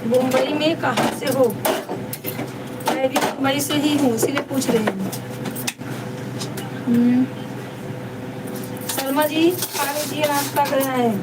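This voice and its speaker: A woman with a high-pitched voice hosts a casual live chat. She talks to viewers as sisters and often repeats herself. She sounds some way from the microphone, with clear background noise around her. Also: takes it slowly, long pauses. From Deoria district, India. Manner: repetitive, chatty, addresses viewers as sister. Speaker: live stream host. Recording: distant mic, noisy background.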